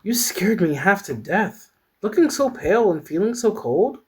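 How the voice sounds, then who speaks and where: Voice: mid-range voice, big pitch swings, moderate pace, darkish tone, slightly rough, voice pushed up. A story reader voicing a caring but stern woman friend, concerned and firm. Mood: scolding, worried.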